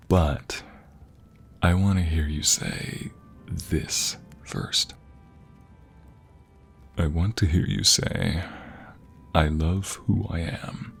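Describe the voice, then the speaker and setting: A man playing an affectionate, playfully teasing husband in an intimate ASMR roleplay, soft, husky and gravelly, with murmured coaxing and breathy whispers. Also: deep voice, full character voice. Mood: tender, coaxing. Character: affectionate, playfully teasing, sappy husband doting on his wife.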